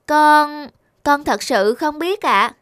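A woman as high-voiced earnest little cupid. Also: lively intonation, slow, fairly bright tone, no room sound, clean studio sound, exaggerated voice. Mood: earnest, thoughtful. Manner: polite, earnest pupil. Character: small earnest child cupid, curious and wide-eyed. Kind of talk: cartoon dubbing.

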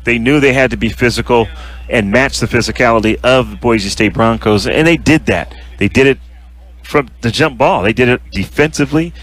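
A man working as a radio color analyst, breaking down a win with halting, earnest remarks and many restarts. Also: medium pitch, a bit bright.

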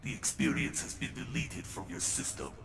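A man's voice: moderate pace, echoey room, noisy background, natural voice. A man playing a cold, menacing cyborg assassin in a video game, with clipped, imperious delivery. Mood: cold menace. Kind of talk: video game villain acting. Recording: loud music over the voice.

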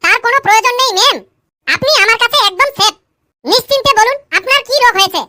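A man voicing a cheeky, chattering cartoon schoolgirl through a pitched-up voice effect. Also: fast, sharp tone, no echo, full character voice. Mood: cheeky storytelling. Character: cheeky married schoolgirl with a tale for everything. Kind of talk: comic cartoon dialogue. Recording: music behind.

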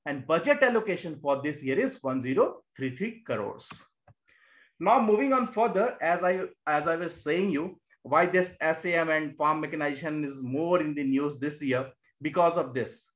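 A male teacher with an Indian English accent narrates a slide lecture in a fairly high voice. He explains each point patiently, posing a question and then answering it himself. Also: expressive, normal speed, a bit bright, rough recording. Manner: explanatory, repeats key terms, asks and answers own questions. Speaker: exam coaching teacher. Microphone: voice-over on annotated slides.

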